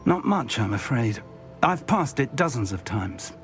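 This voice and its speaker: Expressive, cautious older professor. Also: male voice, medium pitch, a little slow, faint music behind. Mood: thoughtful. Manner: articulate, considered, courteous. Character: cautious, protective older male professor of magic. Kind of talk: scripted video game cutscene acting.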